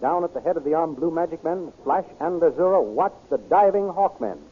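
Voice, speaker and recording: A man's voice: a little high, expressive, fairly quick. A man with an American accent announcing and narrating an old radio serial in a dramatic, emphatic manner. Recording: tinny, noisy old radio recording.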